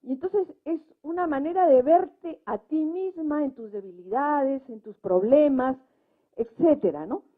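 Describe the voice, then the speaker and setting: A woman's voice: high voice, unhurried. A woman speaking off the cuff as a debate panelist, making emphatic points and checking them with tag questions. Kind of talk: unscripted talk at a debate. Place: public debate event. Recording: muffled, lo-fi event recording.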